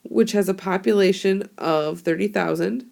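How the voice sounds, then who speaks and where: Hoarse woman teacher with a gravelly voice. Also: medium pitch, moderate pace, a little mellow. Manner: casual, chatty explaining with fillers. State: hoarse from a cold. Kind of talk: classroom screencast lesson. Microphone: tablet microphone.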